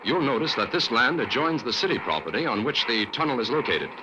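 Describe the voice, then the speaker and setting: An actor playing a calm, polite detective who asks probing questions in an old radio drama. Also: male voice, mid-range voice, a little fast, noisy background, natural voice. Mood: thoughtful. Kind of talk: 1940s radio acting.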